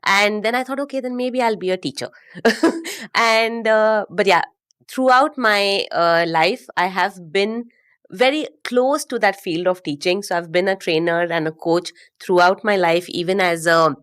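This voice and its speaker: A middle-aged woman from Mumbai with an Indian English accent, chatting as an interview guest, often pausing with fillers and soft laughs. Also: mid-range voice, clean tone. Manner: warm, hesitant, many fillers and soft laughs. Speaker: guest, connection coach. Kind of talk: casual interview conversation.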